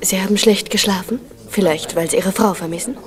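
Casting: female actor for candid young sweetheart in a radio drama, medium pitch, earnest. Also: fairly even intonation, fairly quick, crowd noise, close to an everyday voice. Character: candid, soft-mannered young woman in love. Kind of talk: 1970s German radio drama acting.

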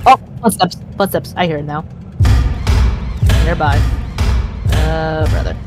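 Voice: mid-range voice, measured pace, loud music behind the voice. A player chatting casually with friends during a game, nervous and often repeating short phrases. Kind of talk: casual gameplay chat with friends.

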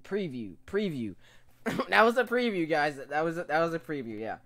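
A young man reacting casually to a video in a small room at home, excitable and often repeating himself. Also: fairly high pitch, normal speed. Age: young adult. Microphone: studio microphone with pop filter.

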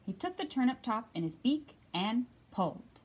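A woman narrating a children's picture book aloud with measured, emphatic, expressive phrasing. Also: a little high, slightly rough. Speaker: storyteller.

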